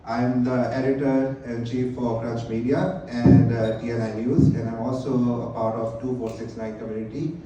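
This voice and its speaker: A man's voice: mid-range voice, background noise. A man hosting a community meetup, talking loosely with many fillers. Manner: relaxed, halting with fillers. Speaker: event host. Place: event hall. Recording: echoey room, distant mic.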